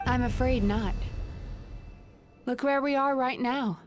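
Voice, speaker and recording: A calm young female dream-eater yokai speaks in a fairly high, measured voice. She sounds knowing, in game dialogue with faint music behind her. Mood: grave, explaining.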